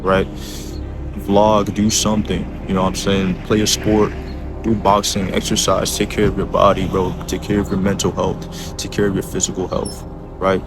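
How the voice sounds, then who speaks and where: Young man, low voice, flat intonation, casual motivational vlog talk, earnest and exhorting, clear background music. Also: moderate pace. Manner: earnest, exhorting, repeats tag questions like a coach. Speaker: motivational vlogger.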